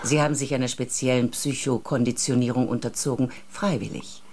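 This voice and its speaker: A low-voiced, composed rebel agent. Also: female voice, fairly even intonation, brisk, a little mellow. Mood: calm, explaining. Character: composed, resolute female rebel agent of moon colonists. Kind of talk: German science-fiction radio drama acting.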